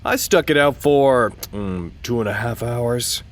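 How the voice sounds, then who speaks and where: A man's voice: medium pitch, measured pace, close to an everyday voice. A man playing a stubborn, unimpressed holdout in an audio drama, speaking tersely and firmly. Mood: firm, guarded. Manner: terse, firm.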